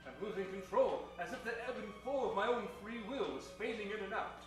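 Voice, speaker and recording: A man's voice: sits high, fast talker, heavy reverb, recorded from a distance, over music, close to an everyday voice. A man playing an earnest, gallant superhero in an improvised radio parody, with a pompous, stiffly formal way of talking. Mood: awestruck, helpless. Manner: pompous, stilted, heroic. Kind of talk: improvised old-time radio parody acting.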